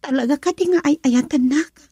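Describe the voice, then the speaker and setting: A woman's voice: high-pitched, fairly quick, warm tone, no room sound, close to an everyday voice. A woman playing a frustrated young girlfriend in an Ilocano radio drama, emotional and pleading as she longs to marry.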